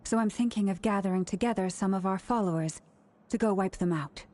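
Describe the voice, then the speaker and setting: A woman voicing a calm, resolute leader in a video game, measured and serious, with music underneath. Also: medium pitch, a little hiss or hum, lightly acted. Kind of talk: scripted video game dialogue.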